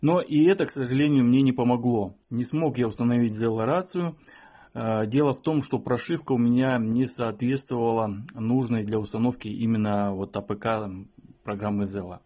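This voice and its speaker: A man's voice: mid-range voice, fairly even intonation, normal speed, dark tone, husky. A man giving an informal product review and tutorial, explaining things in a matter-of-fact way. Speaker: phone reviewer.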